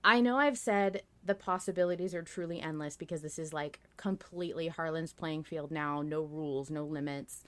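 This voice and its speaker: A woman hosting a casual fan podcast, talking in an excitable, emphatic way and swearing freely. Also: deep voice, moderate pace, few pauses. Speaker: podcast host.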